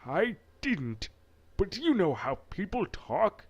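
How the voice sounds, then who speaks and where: A man voicing a proud, genial French gentleman and father in a visual novel, in a strained, effusive put-on voice. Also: sits high, very animated, slow, voice pushed up, full character voice.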